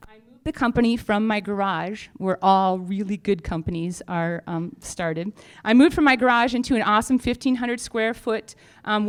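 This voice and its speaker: A woman's voice: medium pitch, clean tone. A woman company founder giving an informal update on stage, speaking in a frank, humorous, chatty way. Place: on stage at a live event.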